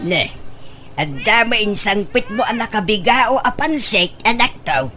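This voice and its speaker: A woman's voice: medium pitch, animated, measured pace, over music, lightly acted. A woman playing a concerned, practical parent advising a grown son in a comedy radio drama, with an Ilocano accent. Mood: cautioning.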